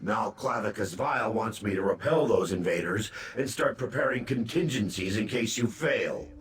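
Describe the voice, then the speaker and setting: A cocky talking hound from a demon realm voiced by a man. The voice is lowish and swings around a lot, brash and grumbling, with wry bluntness. It rings with echo in a fantasy game. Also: lively intonation, moderate pace, lightly acted. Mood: wry, blunt. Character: cocky, grumbling male demon hound serving a trickster master. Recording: echoing, faint game ambience noise.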